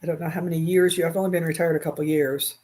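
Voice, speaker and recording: A woman's voice: sits low, little pitch movement, very fast, darkish tone. Chatty woman in her sixties on webcam. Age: 60s. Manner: chatty, reads and answers viewer comments; laughs easily. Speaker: crochet livestream host. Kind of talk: casual chat while crocheting. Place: small bedroom at home, indoors. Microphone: webcam at desk.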